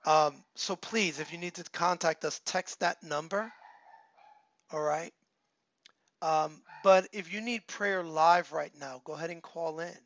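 An earnest man pastor, speaking a little high, leans on repeated phrases and frequent ums. His voice is still rough from a recent cold. The recording is dry and somewhat muffled. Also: a little slow, fairly bright tone, no room sound. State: recovering from a cold, voice not fully back. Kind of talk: online church service, announcements and appeals.

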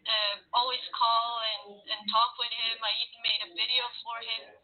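Young woman from Sweden, guest, earnest, higher voice, phone-line sound. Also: little pitch movement. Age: 20s. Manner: earnest, sometimes halting. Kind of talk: interview, telling her story. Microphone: video call. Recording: tinny phone-line audio.

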